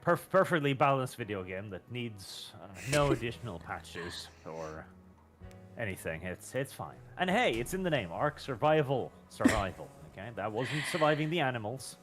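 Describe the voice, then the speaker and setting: A Norwegian man speaking British-sounding English, chatting casually on a game stream with wry, mock-serious, halting comic timing. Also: mid-range voice, big pitch swings, fairly bright tone. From Norway. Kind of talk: casual chat. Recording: music behind the voice. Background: music.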